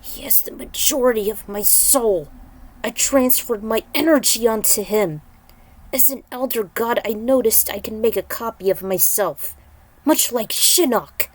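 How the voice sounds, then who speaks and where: A woman's voice: on the high side, a little slow. A weakened thunder god, acted out by a woman storyteller, grave and anguished. The delivery is solemn and formal, raised above plain narration, as in a dramatic fantasy tale. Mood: grave, regretful.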